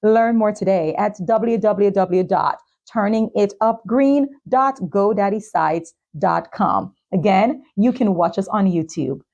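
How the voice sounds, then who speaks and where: Lady podcast host, soft dark tone, medium pitch, talking to guest and audience. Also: unhurried, gravelly, few pauses, highs cut off. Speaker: talk show and podcast host. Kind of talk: interviewing and presenting sponsor messages.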